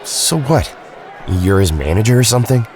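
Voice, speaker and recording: A man's voice: on the low side, very animated, moderate pace, darkish tone, far from the mic, a faint crowd, slight background noise. A man playing a weary, guarded young hero in an audio drama, terse and unimpressed. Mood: suspicious.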